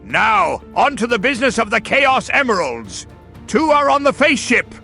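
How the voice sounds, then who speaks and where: A man voicing a pompous, megalomaniac mad genius villain in a theatrical comic dub, gravelly and forceful. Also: very high-pitched, very animated, normal speed, soft background music, heavily acted. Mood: scheming.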